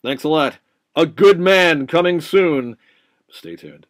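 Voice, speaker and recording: A man's voice: a little high, takes it slowly, no echo. A man presenting as a filmmaker in a casual, chatty and emphatic address to his viewers. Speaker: filmmaker and travel show presenter. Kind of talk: casual video sign-off to viewers.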